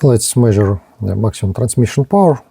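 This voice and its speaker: A man giving a hands-on gadget demonstration as a reviewer, speaking in short, halting, item-by-item phrases. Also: on the low side, animated, gravelly, heavy background noise. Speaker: product reviewer, presenter.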